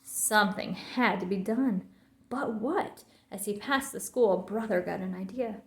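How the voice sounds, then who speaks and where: A woman reading a children's story aloud in an expressive, theatrical way. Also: mid-range voice, a little slow. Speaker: storybook reader. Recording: roomy, echoing sound.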